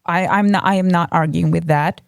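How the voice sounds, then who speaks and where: A woman's voice: fairly low pitch, fairly even intonation, a little fast, gravelly, no echo, studio quality. A woman from Russia with a Russian accent, co-hosting a casual book podcast and talking hesitantly, with many ums and restarts. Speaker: podcast co-host. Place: home room with a cat.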